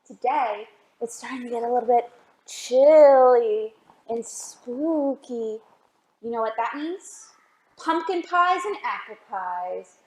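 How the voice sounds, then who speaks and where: A woman reading a picture book aloud for a children's storytime, expressive and often asking the listeners questions. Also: on the high side, slow, echoey room, low-quality recording. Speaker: library storytime reader.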